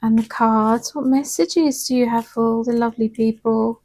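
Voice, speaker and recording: A woman giving a casual tarot and angel card reading, her voice slightly hoarse, talking in a soft, chatty, reassuring way and often checking in with "okay?". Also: a little high, steady tone, normal speed.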